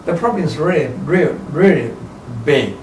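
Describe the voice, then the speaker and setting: A man in his seventies from Taiwan with a Taiwanese accent, a kung fu teacher giving opinionated interview answers in halting, emphatic non-native English with repeated short phrases. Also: on the high side, lively intonation, darkish tone. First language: Chinese. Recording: roomy, distant mic, faint background noise.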